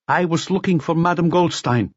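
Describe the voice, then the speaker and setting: Guy doing a defiant young woman suspect, high voice, snippy stonewalling answers, audiobook mystery. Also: normal speed, no echo, dull audio, lightly acted. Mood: stubborn, defiant. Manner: curt, stonewalling replies. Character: defiant, scornful young woman murder suspect under interrogation. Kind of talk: audiobook character voice.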